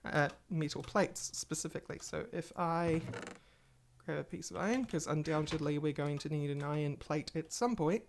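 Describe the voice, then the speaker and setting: A woman with a low voice talks viewers through her game session in a loose, rambling way. She sounds a little stuffy with a cold. Keyboard typing clicks in the background. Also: normal speed, creaky, a little hiss or hum. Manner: chatty, rambling, talks to viewers while playing. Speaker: gaming channel host. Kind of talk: casual Let's Play commentary.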